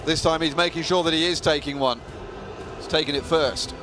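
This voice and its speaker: A man giving excited live football commentary as a match commentator, heard in a broadcast clip. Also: a little high, brisk, background noise.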